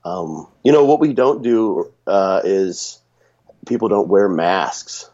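Deep raspy guy with a cold, rambling podcast chat, kinda muffled recording. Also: animated, takes it slowly, a little mellow. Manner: rambling, many restarts and fillers. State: sick with a runny nose.

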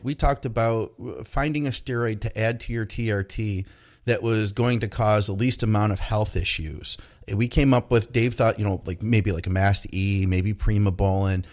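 Low-voiced man, chatty podcast host. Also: moderate pace. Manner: relaxed, chatty, with many fillers like "you know". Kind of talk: casual podcast chat.

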